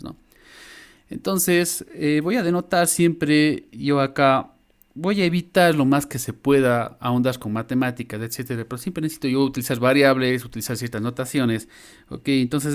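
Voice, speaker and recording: Latin American man teaching informally online. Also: medium pitch. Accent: Latin American Spanish. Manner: informal, frequent check-in tags. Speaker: university lecturer. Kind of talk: explanatory online lesson. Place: indoor room, online class.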